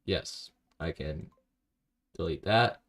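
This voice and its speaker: Low, gravelly man streaming a game. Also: takes it slowly, fairly bright tone. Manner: rambling low-key self-talk, reads chat aloud. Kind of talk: casual live-stream commentary while playing.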